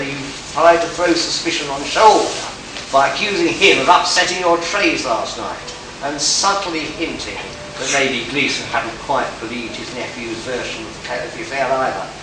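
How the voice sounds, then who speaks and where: A man's voice: medium pitch, unhurried, close to an everyday voice. A man acting a smug, hard-boiled space detective in an amateur live radio play, declaiming and stumbling over the script. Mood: accusing, confident. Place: live convention stage, indoors. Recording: distant, echoey, noisy hall recording.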